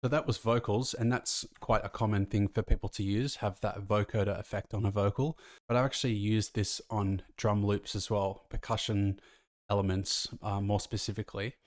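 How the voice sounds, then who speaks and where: Low-voiced man giving a casual tutorial. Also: fairly even intonation, normal speed, dry recording, clean studio sound. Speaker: music production tutor. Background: faint music from the track.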